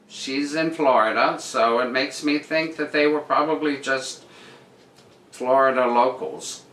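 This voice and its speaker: A man reading articles aloud and commenting on them casually, with dry, deadpan asides. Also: fairly high pitch. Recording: roomy echo with noticeable background noise.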